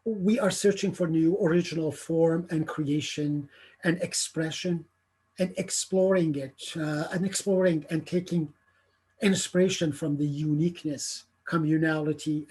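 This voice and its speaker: A middle-aged man gives an earnest, carefully prepared lecture, pausing often on fillers and repeated words. His voice sits a little high for a man and has a husky, slightly breathy edge. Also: fairly even intonation, a little mellow. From Iran. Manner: halting, many filler sounds and restarts, earnest. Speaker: theatre artistic director giving a lecture.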